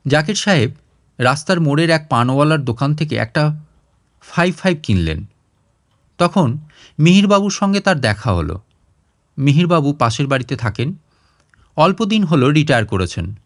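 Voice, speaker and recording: Male audiobook narrator for literary fiction, fairly high register, widely varied melody. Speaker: story narrator.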